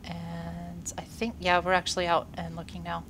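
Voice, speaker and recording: A woman's voice: on the low side, completely flat delivery, moderate pace, fairly bright tone, a little hiss or hum. A woman with a congested, slightly wheezy voice, giving upbeat, casual commentary on a space game while she plays. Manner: chatty, upbeat, frequent "uh" fillers. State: congested after a workout and illness. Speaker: gaming video creator.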